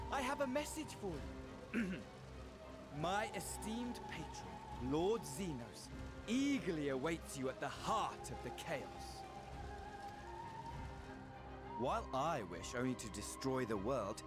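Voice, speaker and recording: A male actor playing a theatrical, unhinged doomsday villain in a video game, mock-courteous and mocking, swinging between a purr and a shriek. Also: high-pitched. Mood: playful menace. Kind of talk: video game cutscene voice acting.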